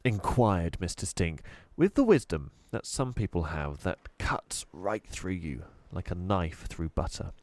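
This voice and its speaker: A man narrating a children's storybook expressively, with deliberate, dramatic emphasis. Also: sits low, very animated, darkish tone, no echo. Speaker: storybook reader.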